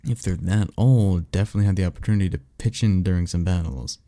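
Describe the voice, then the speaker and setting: A man playing a blunt, sarcastic vampire mentor in an audio roleplay, talking in a casual, halting, exasperated way. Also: deep voice, warm tone, no room sound, clean studio sound, natural voice. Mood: explanatory, skeptical. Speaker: voice actor. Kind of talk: ASMR roleplay audio drama, one-sided conversation.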